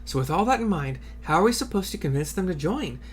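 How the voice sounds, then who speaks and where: Man putting on an earnest, higher-pitched young woman fighter. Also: very animated, fast talker, slight background noise. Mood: questioning.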